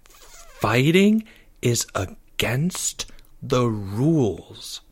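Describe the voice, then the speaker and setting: A man voicing a cautious, rule-abiding schoolboy in a storybook, speaking in a firm, deliberate and unimpressed way. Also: medium pitch, huge pitch swings, bright, forceful tone, exaggerated voice. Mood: flat refusal.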